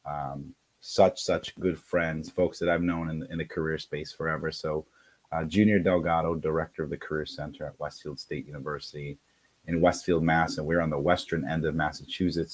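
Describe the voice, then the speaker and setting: A man's voice: rumbling low, a little mellow, slightly rough. A man in his forties, a native Spanish speaker, telling his story as a guest on a video call, earnest and full of fillers. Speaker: guest, career center director. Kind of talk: casual conversation.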